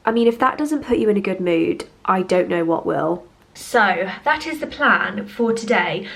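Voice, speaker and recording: A woman's voice: mid-range voice, animated, normal speed, gravelly, fairly bright tone. Nasal woman with a cold. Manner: chatty and upbeat, frequent little laughs. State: has a cold. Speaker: home decor vlogger. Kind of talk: casual chat to camera. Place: at home, indoors. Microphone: handheld camera.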